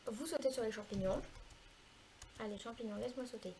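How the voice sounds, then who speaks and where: Congested high-voiced woman with a cold. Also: warm tone, slight background noise. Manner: chatty, hesitant, frequent filler pauses. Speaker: gaming video creator. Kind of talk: casual gameplay tutorial commentary.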